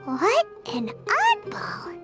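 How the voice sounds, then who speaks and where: A woman voicing a tiny childlike fairy companion in a video game, cheeky, excitable and exclamatory. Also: extremely high pitch, drawn-out words, exaggerated voice. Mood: puzzled. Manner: exclamatory, refers to self in third person. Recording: loud music behind the voice.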